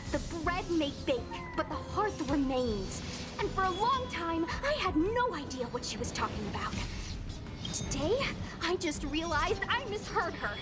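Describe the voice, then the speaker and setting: An actress playing a brave, stubborn young woman swordfighter in a video game, earnest and defiant. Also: sits high. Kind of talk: animated video game acting. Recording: loud music behind the voice.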